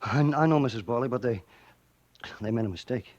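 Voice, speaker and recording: A man's voice: mid-range voice, quick pace, a little mellow, no room sound, muffled recording, lightly acted. A man playing a mild, sheepish husband, hesitant and apologetic, in 1940s radio style. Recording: old radio recording with faint noise.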